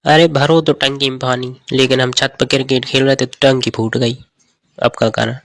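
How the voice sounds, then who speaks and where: आदमी का निभाया क्रिकेट का दीवाना बुंदेली पति. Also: male voice, medium pitch, little pitch movement, moderate pace, close to an everyday voice. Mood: sheepish. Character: boastful, hapless cricket-mad husband bickering at home. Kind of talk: comedy skit dialogue in dialect.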